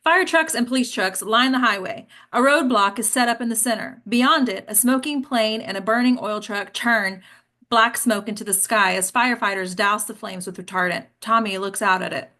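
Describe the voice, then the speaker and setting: A woman's voice: fairly high pitch, moderate pace, sharp tone. A woman reading stage directions as scripted narration at a table read.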